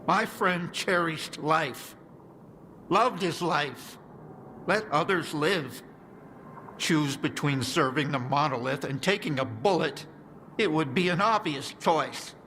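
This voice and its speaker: A man's voice: on the high side, takes it slowly, sharp tone. A man voicing a worried male survivor in a video game, explaining things in an earnest, urgent way. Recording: game audio with clear ambient noise.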